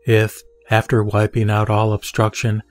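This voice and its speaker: A man giving a measured, solemn reading of a Buddhist scripture. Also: fairly low pitch, completely flat delivery, no room sound. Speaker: sutra reciter. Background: soft background music.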